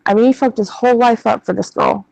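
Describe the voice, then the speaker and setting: A woman's voice: on the high side, fast talker, creaky, darkish tone, no room sound, highs cut off. A woman in her thirties in casual chat, venting bluntly with plenty of swearing. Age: 30s.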